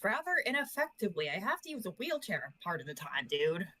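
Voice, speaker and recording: A woman's voice: medium pitch, very animated, brisk, sharp tone, no room sound. A woman chatting casually as a player in a tabletop game, speaking in a blunt, emphatic way. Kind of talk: casual chat.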